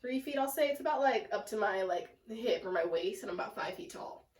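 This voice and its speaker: A young woman with a sick, congested, raspy and nasal voice, chatting casually in a home plant vlog with lots of fillers. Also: mid-range voice, animated, brisk. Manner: chatty and self-deprecating, lots of "like" and "um". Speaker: plant vlogger. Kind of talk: casual rambling vlog chat. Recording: echoey room, mic at a distance.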